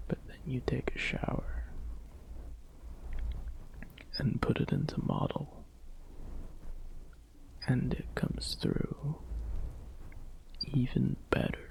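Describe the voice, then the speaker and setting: A man playing a tender, adoring boyfriend in an ASMR roleplay, speaking in soft, breathy, gentle whispers. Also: medium pitch. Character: tender, adoring boyfriend comforting a sad partner.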